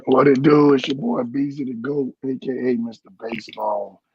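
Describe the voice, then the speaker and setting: A laid-back man co-hosting a podcast, his voice hoarse after a weekend tournament. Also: mid-range voice, steady tone, normal speed, dull audio, background noise. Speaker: podcast co-host.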